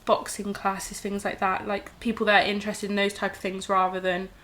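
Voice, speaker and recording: A young woman in her twenties with a bright, forceful voice. She chats casually and peppers her sentences with "like". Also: medium pitch, level tone, brisk. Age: 20s. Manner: chatty, lots of "like" fillers. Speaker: guest, host's sister. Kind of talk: casual chat.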